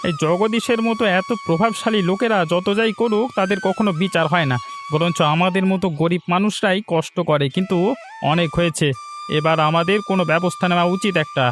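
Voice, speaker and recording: Guy doing defiant young village son in a cartoon, really high male voice, earnest, soft music behind. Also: a little fast, close to an everyday voice. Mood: indignant. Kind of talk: Bengali fairy-tale cartoon dubbing.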